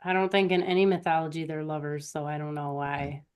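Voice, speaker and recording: A woman's voice: low voice, steady tone, a little fast, clear, resonant tone, dull audio. A woman co-hosting a live stream with casual, rambling and self-deprecating chat. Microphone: online live stream.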